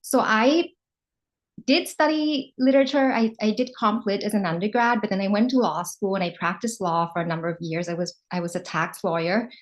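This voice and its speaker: Female panelist, native Thai speaker, 40s, mid pitch, clear and direct. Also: fairly even intonation, moderate pace. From Thailand. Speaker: panelist translator. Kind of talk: panel talk.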